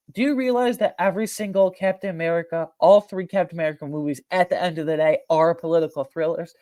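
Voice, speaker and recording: Casual movie-ranking host: mid-pitched, conversational, slangy, emphatic and opinionated, recorded dry. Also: no echo. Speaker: video host giving a film ranking.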